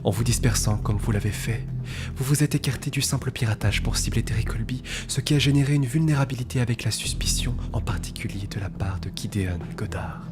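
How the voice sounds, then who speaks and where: A man acting in a dubbed TV thriller as a cold, condescending, time-obsessed hacker leader, speaking in a breathy, hushed, precise and formal way. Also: medium pitch, a little fast, lightly acted. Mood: accusing, controlled. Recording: loud music under the voice.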